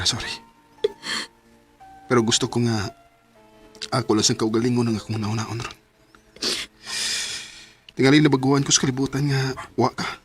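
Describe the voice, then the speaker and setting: A man playing an apologetic, guilt-ridden young boyfriend in a radio drama, husky-voiced, with a Cebuano Filipino accent. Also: mid-range voice, lightly acted. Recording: music under the voice.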